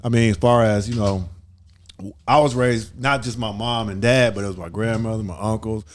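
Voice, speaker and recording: A man's voice: on the low side, fairly even intonation, normal speed, a little hiss or hum. A middle-aged man speaking reflectively in a casual discussion.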